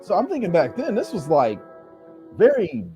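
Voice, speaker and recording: A man's voice: sits high, wildly swooping pitch, moderate pace, music in the background. A man chatting casually as a guest on a show. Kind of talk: casual chat.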